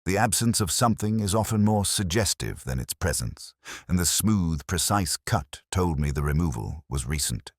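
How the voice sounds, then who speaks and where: A man with an extremely deep voice narrates a detective mystery in a measured, reflective way. His pitch rises and falls freely through the scripted first-person storytelling, and the sound is close and dry. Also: husky, dry recording. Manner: measured, reflective, literary phrasing.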